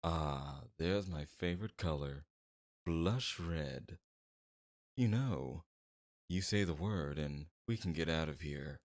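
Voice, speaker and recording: A very deep-voiced, smug mob boss played by a man in an audio roleplay. He talks with heavy fry, suave and teasing, flirting freely while keeping a menacing edge beneath it. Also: a little mellow, exaggerated voice. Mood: flirtatious. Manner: teasing, suave, drawn-out flirtation with veiled threats. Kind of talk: ASMR roleplay, scripted character acting.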